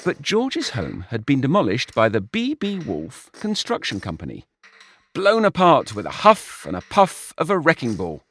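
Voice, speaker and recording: A man's voice: mid-range voice, wildly swooping pitch, moderate pace, fairly bright tone. A man reading a comic short story aloud in a wry, dry storytelling manner. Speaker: narrator. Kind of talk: storybook narration.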